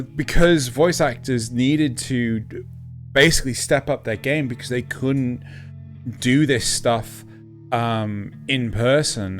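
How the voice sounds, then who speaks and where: A man's voice: medium pitch, a bit bright. A man from Wales with a Kent English accent, co-hosting a casual podcast chat and talking emphatically, often asking "right?". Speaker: podcast co-host.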